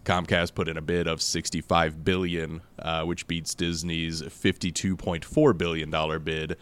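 A man hosting a casual news chat podcast, hoarse from losing his voice, rambling with fillers and frequent laughs. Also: a bass voice, flat delivery, normal speed, a bit bright. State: hoarse, lost voice. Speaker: podcast host.

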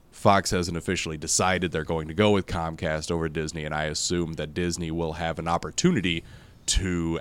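Hoarse deep-voiced man hosting. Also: a bit bright. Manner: rambling, laughs often, many fillers. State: hoarse, lost voice. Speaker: podcast host. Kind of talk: casual news chat.

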